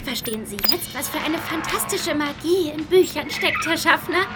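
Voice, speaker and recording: Very high-voiced earnest little girl. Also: animated, moderate pace, over music. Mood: enthusiastic. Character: bright, inquisitive little girl passenger, earnest. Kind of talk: children's audio drama acting.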